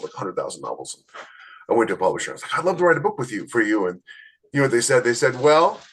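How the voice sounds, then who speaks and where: A man's voice: on the low side, fairly bright tone. A man co-hosting a podcast in casual conversation, talking emphatically and repeating points for stress. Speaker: podcast co-host, indie author. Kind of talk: casual chat about publishing.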